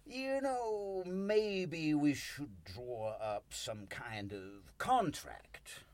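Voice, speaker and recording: A man acting in a radio play as a needy, anxious small-business hopeful, halting and nervously insistent in naturalistic dialogue. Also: on the high side, expressive, dry recording, exaggerated voice. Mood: tentative, wheedling.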